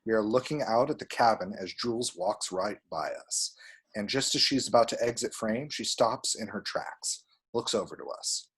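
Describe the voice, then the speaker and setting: A man reading screen directions aloud as expressive scripted narration. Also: lowish voice, moderate pace, slightly rough. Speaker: reader of action descriptions.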